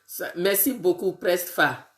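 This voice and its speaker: A woman who has a bad cold, greeting her viewers one by one on a casual live video and talking in a halting way with many fillers. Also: lowish voice, expressive, a bit bright, reverberant. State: congested with a cold, sniffly and tired. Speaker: political live streamer.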